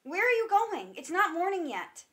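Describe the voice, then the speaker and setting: A woman's voice: very high-pitched, big pitch swings, normal speed. An actress playing a guarded, evasive woman with a painful past in a stage drama reading. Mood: urgent.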